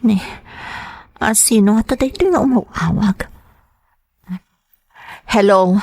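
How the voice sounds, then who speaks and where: A woman with an Ilocano accent playing a fragile, anxious wife in a radio drama, with halting, stammering, melodramatic delivery. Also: mid-range voice, a little mellow, no room sound, studio quality. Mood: alarmed. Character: fragile, distressed young wife, anxious and tearful.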